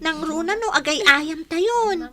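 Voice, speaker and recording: A woman's voice: extremely high pitch, a little slow, crowd noise. A woman with an Ilocano accent playing a cheerful young village girl and playmate in a radio drama. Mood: coaxing.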